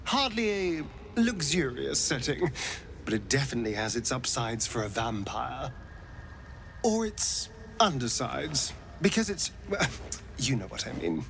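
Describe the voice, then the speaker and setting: A sardonic vampire spawn in a game, wry and theatrical, with his pitch swinging widely from line to line. A faint noise sits underneath his voice. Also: very animated, measured pace, gravelly, pauses often, fairly bright tone, heavily acted.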